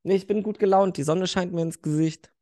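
A man's voice: sits high, fairly even intonation, fairly quick, warm tone, no echo, studio quality. A man co-hosting a casual podcast, joking, crude and laughing often. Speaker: podcast co-host. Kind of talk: casual chat.

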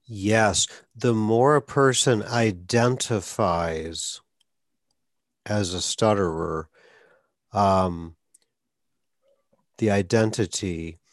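A man's voice: lowish voice, drawn-out words, pauses often, dry recording, studio quality. A man hosting an interview, a speech clinician who speaks earnestly and advocates, with occasional stuttered blocks. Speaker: podcast host, speech clinician. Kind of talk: conversational interview.

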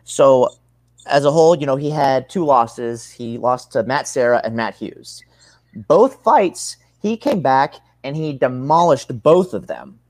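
A man's voice: medium pitch, lively intonation, a little slow, a little mellow, no echo. A young man giving casual interview answers as a combat sports athlete, earnest and rambling, often rephrasing himself.

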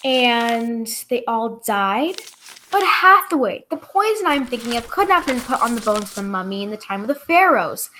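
Woman acting flustered female doctor, high voice, lively pitch, questioning, 1940s radio style, noisy clicky audio. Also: moderate pace. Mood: earnest, puzzled. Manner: exclamatory, questioning. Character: inquisitive female doctor, flustered expert toxicologist. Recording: clearly noisy recording with clicks.

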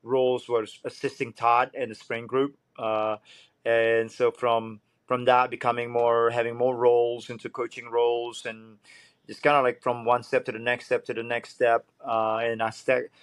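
Man, flat earnest delivery. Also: mid-range voice, moderate pace, dry recording. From Indonesia. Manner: earnest, searching for words. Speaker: swim coach guest. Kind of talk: casual interview.

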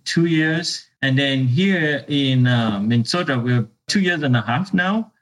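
A man's voice: medium pitch, unhurried, a bit bright. A man in his thirties from Uganda with a Ugandan accent, a business owner telling his story in an interview, hesitant, with many fillers and repeated words. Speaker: beverage business owner, guest.

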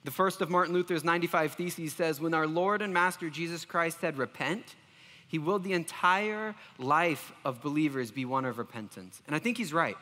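A high-voiced man preaches with an earnest, self-deprecating manner, often stumbling into restarts. His tone is bright and forward, and a long reverb trails behind his words. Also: moderate pace. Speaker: pastor. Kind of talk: sermon.

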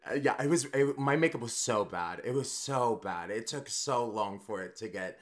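Camp male guest, 30s, animated pitch, rambling and giggly. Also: normal speed, a little hiss or hum. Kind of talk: casual chat over video call. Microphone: video call.

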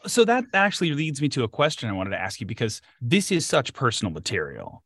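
A man's voice: mid-range voice, wildly swooping pitch, fast, fairly bright tone, no room sound. A man hosting an interview, asking long, thoughtful questions that ramble a little. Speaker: interview host. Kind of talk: casual interview talk. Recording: dry close sound.

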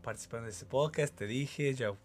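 A man's voice: mid-range voice, a little fast. Brazilian man, reflective, over guitar music. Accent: Brazilian Portuguese. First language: Portuguese. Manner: warm, reflective, often adds "¿no?". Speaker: guest, company general manager. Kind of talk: casual interview chat. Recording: podcast with guitar music behind.

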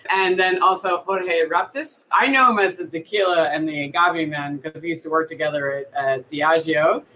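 A woman's voice: deep voice, normal speed, bright, forceful tone, a little hiss or hum, poor audio. A woman in her forties hosts an interview podcast over a video call. She keeps things upbeat, laughing often as she guides her guests. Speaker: podcast host. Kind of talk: interview hosting.

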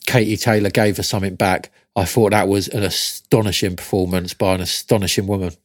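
A man's voice: low voice, fairly even intonation, fairly quick, dry recording, studio quality. A man co-hosting a casual boxing podcast, easygoing and matey as he gives his views. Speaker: podcast co-host, boxing pundit.

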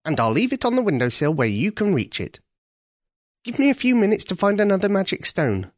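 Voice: medium pitch, big pitch swings, fairly quick, no room sound, clean studio sound, pitched above the performer's own voice, close to an everyday voice. A narrator doing a hearty old leader crow. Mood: helpful. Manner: hearty, coaxing. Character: jolly old senior crow, leader of the flock.

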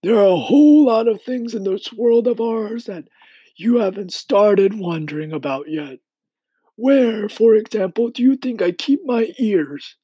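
A high-pitched, elderly grasshopper gentleman. He is kindly and proud, stretching his words slowly and emphatically as he lectures, with big swoops and a husky edge. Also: no echo, low-quality recording. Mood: gentle teasing. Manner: leisurely, emphatic, old-fashioned gentlemanly phrasing.

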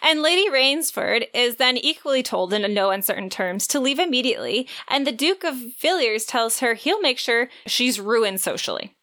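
A woman's voice: a little high, expressive, normal speed, hardly any pauses, pushed and bright. A woman co-hosting a podcast, retelling a story in a playful, informal way. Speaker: podcast co-host. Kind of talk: lively retelling of a romance novel plot.

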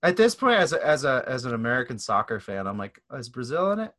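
A man at an ordinary mid pitch co-hosting a relaxed podcast. He jokes freely and keeps dropping into little acted-out quotes. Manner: joking, acts out quick silly voices. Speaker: podcast co-host. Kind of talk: casual chat.

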